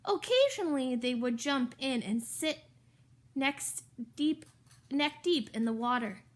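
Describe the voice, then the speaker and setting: A woman reading a children's story aloud in an expressive, emphatic way, with chatty asides to the listeners. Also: high voice, unhurried. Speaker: storybook reader.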